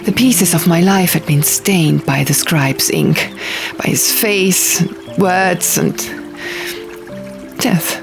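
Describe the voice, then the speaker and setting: A woman narrates an audiobook in a slow, measured, dramatic manner. Her voice carries a marked creak. Music plays clearly underneath the reading. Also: lowish voice. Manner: measured, deliberate phrasing. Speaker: audiobook reader. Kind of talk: dramatic scripted fiction narration.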